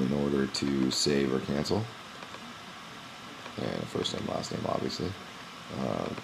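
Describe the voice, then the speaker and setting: Very deep-voiced man walking through software. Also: gravelly, noisy background. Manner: casual, many "um" pauses, explanatory. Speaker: gym owner demonstrating software. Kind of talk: screen-recorded software walkthrough. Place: small indoor room at a computer. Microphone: webcam in front of the face.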